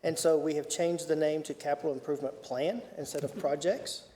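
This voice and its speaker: A man reads out a report at a county committee meeting. He speaks haltingly and full of fillers, in a rather high but mellow voice. The sound carries a long hall reverb. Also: steady tone. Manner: halting, many fillers and restarts. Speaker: county official giving a report.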